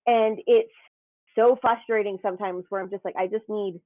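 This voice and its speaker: A woman's voice: mid-range voice, normal speed, no room sound. Tinny-sounding woman rambling on a call. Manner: rambling, animated, self-interrupting. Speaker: panelist. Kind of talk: casual panel discussion. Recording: tinny phone-like video call audio.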